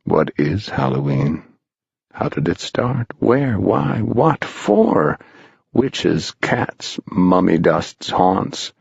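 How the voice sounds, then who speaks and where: Male reader as a solemn hooded ghost, husky and slow, dread in every word. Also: deep voice, sing-song, no room sound, muffled recording, exaggerated voice. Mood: ominous. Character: eerie hooded spectral man, grave and ominous.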